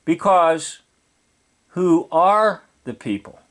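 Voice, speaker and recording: Slow, high-pitched man preaching his commentary. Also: animated, a bit bright. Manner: preachy, emphatic, repeats words for emphasis. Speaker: video commentator. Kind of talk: informal explanatory commentary on a film.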